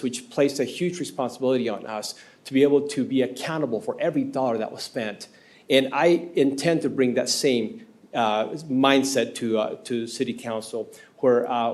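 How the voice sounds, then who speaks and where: A man's voice: mid-range voice, a little mellow. A man in his fifties from Argentina with an Argentine Spanish accent, a city council candidate earnestly answering an interview question at a podium microphone in a council chamber. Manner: earnest, halting with frequent uh fillers.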